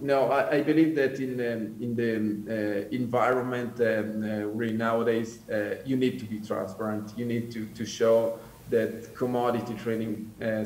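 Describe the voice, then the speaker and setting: A man with an Italian accent, a native Italian speaker from Switzerland, answering on a business panel with many pauses and fillers. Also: lowish voice, a little mellow. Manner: hesitant, many fillers and restarts. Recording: roomy, distant mic.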